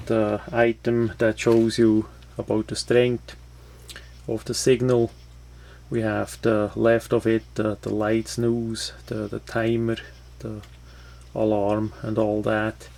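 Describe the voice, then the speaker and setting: A low-voiced man walks through a product in a casual, unscripted way. He speaks slowly, often halting to find the next phrase. His intonation stays quite flat throughout. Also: gravelly, a little hiss or hum. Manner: halting, non-native English phrasing. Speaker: product reviewer.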